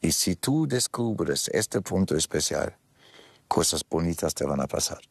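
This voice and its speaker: A man voicing his gentle, reassuring mother as she consoles her young son, in a soft, consoling manner. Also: low-pitched, lively intonation, a little slow, creaky, slightly rough, no echo, full character voice. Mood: tender.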